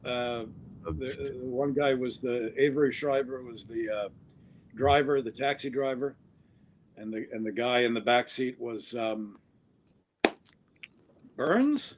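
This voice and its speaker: Male voiceover guest, playful and joking, faint rumble in the background. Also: unhurried, long pauses. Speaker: voice actor guest. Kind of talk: casual chat.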